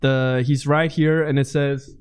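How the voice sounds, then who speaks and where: A man's voice: mid-range voice, little pitch movement, measured pace, clear, resonant tone. A man co-hosting a casual podcast, enthusiastic and rambling, laughing often. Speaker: podcast co-host. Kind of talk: casual chat.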